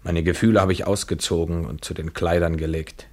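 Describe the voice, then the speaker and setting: An actor playing a world-weary, detached lover in an intimate German radio play, restrained and brooding. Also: male voice, fairly even intonation, fairly quick. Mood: detached, bleak. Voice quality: low, husky.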